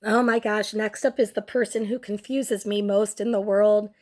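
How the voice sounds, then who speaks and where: A woman's voice: medium pitch, fairly even intonation, a little mellow. A young woman with a cold and a nearly lost voice, hosting a casual commentary show in a crude, sardonic way. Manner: crude, sardonic, slow and drawn out. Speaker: host.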